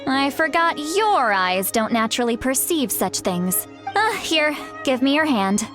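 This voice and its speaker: Casting: centuries-old shaman with a youthful, highish voice, confident, breezy, prone to fretting, game music underneath. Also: very animated, unhurried. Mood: confident, instructive. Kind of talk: video game character acting.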